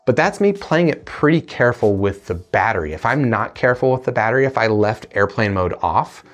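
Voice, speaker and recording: A man's voice: mid-range voice, animated, brisk, soft background music. A man in his thirties talking casually to camera as a gadget reviewer, explaining things conversationally into a lapel mic. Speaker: gadget reviewer, video host. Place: indoor studio room.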